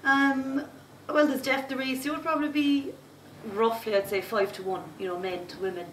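A woman's voice: high voice, fairly even intonation, moderate pace, a little hiss or hum. A woman in her thirties with a Cork Irish accent, chatting casually and then reciting her poems in a rhythmic, self-deprecating way on a pub stage. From Ireland. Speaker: spoken word poet. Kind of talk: casual interview and poetry performance. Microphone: handheld mic, far camera. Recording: echoey pub from far off.

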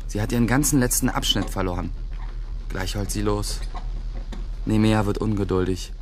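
A man's voice: fairly low pitch, normal speed, lightly acted. An actor playing a cocky, computer-savvy teenage schoolboy who narrates his day in a radio drama. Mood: wry.

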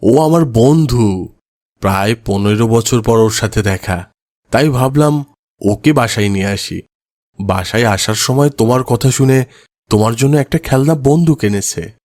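A man's voice: mid-range voice, unhurried, darkish tone, no room sound, studio quality, lightly acted. A man voicing a kindly, good-humoured old grandfather in a cartoon moral story. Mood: explaining, good-humoured.

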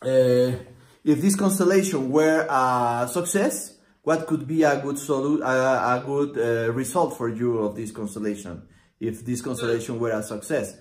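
A man in his forties, a native Spanish speaker, explaining a consulting method at a whiteboard with many pauses and restarts. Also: mid-range voice, a little mellow. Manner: halting, many fillers and restarts, acts out client dialogue. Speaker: systemic consultant teaching a method. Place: small room with whiteboard, indoors. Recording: echoey room.

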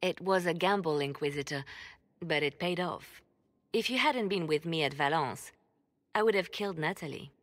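A woman's voice: low voice, expressive, a little slow. An actress playing a composed, wry and reflective female spymaster in video game dialogue. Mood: earnest, reflective. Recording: long hall reverb.

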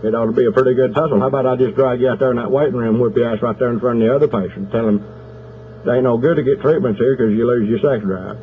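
A man's voice: mid-range voice, fast talker, pitched below the performer's own voice, lightly acted. Man acting a belligerent country husband, tinny phone. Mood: threatening. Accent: Southern US, Oklahoma. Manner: folksy, rambling, deadpan menacing. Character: aggrieved, belligerent country husband threatening a whooping. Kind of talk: prank phone call. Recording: noisy, tinny telephone line.

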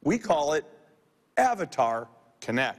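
A man giving a scripted keynote, measured and enthusiastic as he shows off a product. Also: fairly high pitch, very animated. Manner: measured, enthusiastic showcase delivery. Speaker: keynote presenter. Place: large convention hall stage. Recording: reverberant hall sound.

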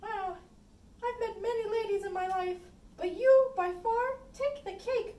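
A woman plays a lovestruck puppet sweetheart, gushing theatrically in an extremely high voice at a slow pace. It is an amateur recording made off-mic in an echoey room. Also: dark tone. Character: lovestruck young sweethearts in comic puppet romance. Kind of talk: amateur puppet-show acting. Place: indoor room with puppet stage. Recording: echoey room, distant mic, faint noise.

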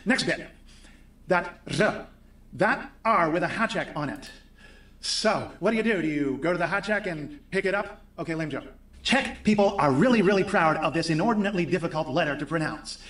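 Man presenting a pronunciation lesson, playful, higher voice, echoey room replayed from afar. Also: lively intonation, a bit bright, distant mic. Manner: playful, demonstrates sounds slowly. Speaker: video presenter. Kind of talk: explaining pronunciation. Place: room with bookshelves.

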